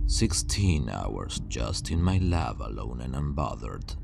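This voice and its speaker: Guy doing a supervillain scientist for asmr, super low slow voice, creepy reassuring, noisy background. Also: creaky, full character voice. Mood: pensive, subdued. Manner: measured, deliberate, pausing, reassuring. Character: superpowered male scientist villain, calm, obsessive, soothingly menacing. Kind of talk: ASMR roleplay, intimate scripted monologue to the listener.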